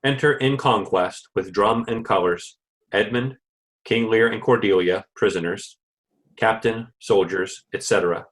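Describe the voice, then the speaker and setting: A man's voice: on the low side, unhurried, fairly bright tone. A man reading stage directions as scripted narration for a play.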